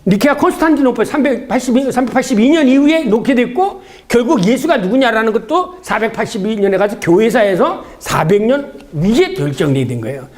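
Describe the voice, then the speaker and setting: A man's voice: very high voice, big pitch swings, brisk, hardly any pauses, darkish tone. A man giving a theology seminar lecture in a conversational, didactic way, often asking rhetorical questions and answering them himself.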